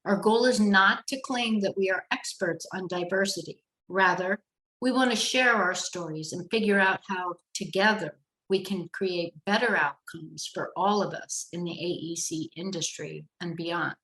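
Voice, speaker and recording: Host, woman, measured and friendly, roomy echo around the voice. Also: lowish voice, fairly bright tone. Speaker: podcast host. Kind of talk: podcast interview.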